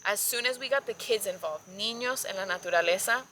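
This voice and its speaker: Woman, young adult, from South Florida, naturalist guest, bright tense tone, chatty, filler-heavy, faint background hiss. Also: mid-range voice, fairly quick, low-quality recording. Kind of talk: casual conversational storytelling.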